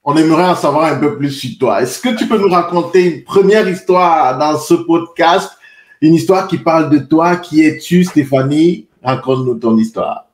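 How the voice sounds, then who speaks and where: Man, podcast host, earnest formal manner, repetitions, fairly high voice, echoey room. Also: moderate pace. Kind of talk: podcast interview.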